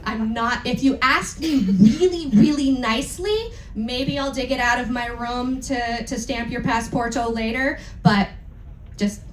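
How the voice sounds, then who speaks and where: A woman's voice: a little high, unhurried. A woman hosting a live event, giving an informal, candid and irreverent introduction to an audience. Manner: candid, irreverent, casually sweary. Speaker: event host introducing a dramatic reading. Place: indoor event room on a cruise. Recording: roomy live event sound with noticeable noise.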